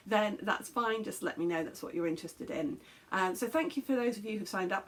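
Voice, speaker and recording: A woman in her fifties with an English accent, hosting a knitting podcast in casual chat, rambling with many fillers and self-corrections while talking to a camera in a small room at home. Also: medium pitch. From England. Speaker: podcast host. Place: small bedroom craft room, indoors.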